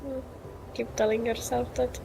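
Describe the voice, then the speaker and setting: A woman trading teasing, dry comebacks with a friend during a gaming session. Her voice sits a touch higher than usual, relaxed and playful. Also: normal speed, creaky, background noise. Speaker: co-op gamer. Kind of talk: casual chat while gaming.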